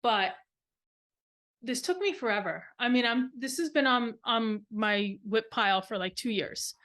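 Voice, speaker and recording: A woman's voice: on the high side, measured pace, fairly bright tone. A woman co-hosting a craft podcast, talking casually in a show-and-tell style and rambling as she thinks aloud. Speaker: knitting podcast co-host.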